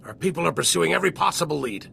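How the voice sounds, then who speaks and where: An actor playing a gruff, forceful army general with a gravelly voice in a video game. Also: male voice, on the high side, lively intonation, racing, full character voice. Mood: brisk, firm. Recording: faint background noise.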